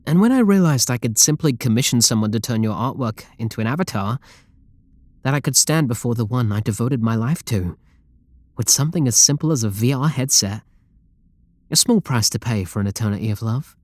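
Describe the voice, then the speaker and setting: A man's voice: medium pitch, animated, brisk, darkish tone, dry recording, close to an everyday voice. A man with a British accent playing an obsessive, possessive, lovestruck game developer in an intimate roleplay audio drama, doting and controlling. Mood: adoring. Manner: doting, intimate, pleading endearments.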